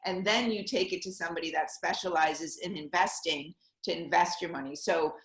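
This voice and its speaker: A middle-aged woman from Connecticut, a money coach and interview guest, talking earnestly about her life in quick bursts. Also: on the low side, fairly bright tone, reverberant, poor audio. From Connecticut, USA. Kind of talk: conversational interview.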